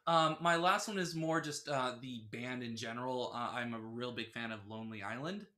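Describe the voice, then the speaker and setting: A man with a mid-pitched voice talks casually as a podcast co-host. He speaks in a hesitant, halting way, close to a microphone in a small room. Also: sharp tone. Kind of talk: casual chat. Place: small furnished room at home, indoors. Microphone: podcast microphone on a stand.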